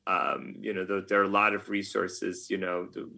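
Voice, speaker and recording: Male interview guest, American, bright edge on a lowish voice, halting conversational delivery. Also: completely flat delivery, creaky. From United States. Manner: halting, thinking aloud. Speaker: podcast guest, game writer.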